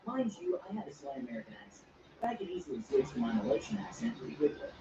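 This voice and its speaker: A synthetic text-to-speech voice reads stories quickly, spelling out abbreviations as it goes. Its pitch jumps around a lot. It plays from a device across an echoey room, dull and noisy. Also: big pitch swings. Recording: distant echoey speaker audio, dull and noisy.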